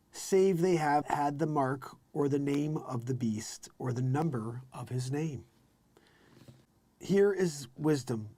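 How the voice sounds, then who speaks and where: A man with a slightly high-pitched voice hosts a live scripture read-along. He reads in an emphatic, declamatory manner and slips into casual, informal commentary. The sound is very dry, with almost no room echo. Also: darkish tone. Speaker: live show host.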